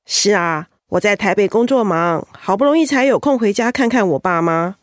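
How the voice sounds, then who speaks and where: An actress playing a reasonable middle-aged cousin, a gently persuading peacemaker, in a radio drama. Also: female voice, mid-range voice, animated, moderate pace, no echo, clean studio sound, close to an everyday voice. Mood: friendly.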